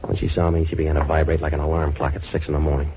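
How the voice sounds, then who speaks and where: Hard-boiled detective: American, very deep voice, sardonic and slangy, muffled vintage radio sound. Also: male voice, completely flat delivery, very fast. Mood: wry narration. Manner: sardonic, clipped slangy delivery. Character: wisecracking hard-boiled male private detective. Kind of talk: old-time radio detective drama narration and dialogue. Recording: muffled old radio recording with hiss.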